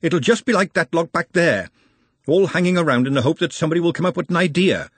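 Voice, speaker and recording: A man voicing a blunt, bossy but caring working-class woman in a storybook, brisk and scolding. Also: a little high, a bit bright, breathy edge, dry recording, voice pushed up. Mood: snappish.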